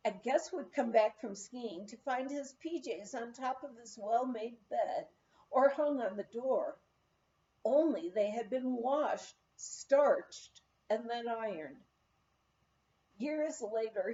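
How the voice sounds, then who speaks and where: A woman reading an anecdotal memoir aloud in a measured, expressive storytelling manner. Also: a little high, muffled recording. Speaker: audiobook reader of a memoir.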